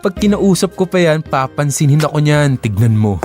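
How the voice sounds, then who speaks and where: A man's voice: a little high, lively intonation, normal speed, darkish tone, soft background music, natural voice. A young man acting in an audio drama as a cocky, teasing ladies' man with boastful, slangy banter. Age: young adult.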